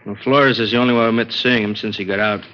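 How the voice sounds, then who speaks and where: Fast-talking police captain male actor. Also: on the low side, steady tone, natural voice. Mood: efficient, official. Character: efficient male homicide police captain giving orders. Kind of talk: 1950s radio drama acting. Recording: dull vintage radio recording.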